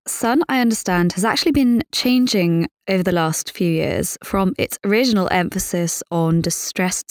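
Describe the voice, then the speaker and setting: A woman's voice: lowish voice, no room sound, clean studio sound. A woman hosting an interview podcast, speaking in a polite, formal and articulate way as a reporter. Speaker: podcast host, financial reporter. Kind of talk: scripted podcast introduction and interview questions.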